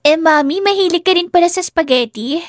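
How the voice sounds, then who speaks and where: A sweet six-year-old girl in a storybook , voiced by a woman. The childlike voice is high, eager and cheerful, on a dry recording. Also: a little fast, no echo. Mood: sweet, curious.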